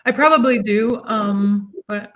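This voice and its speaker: A woman's voice: medium pitch, unhurried. Woman guest on a podcast, upbeat and chatty, says like a lot. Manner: chatty and encouraging, many "like" fillers. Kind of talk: casual podcast chat.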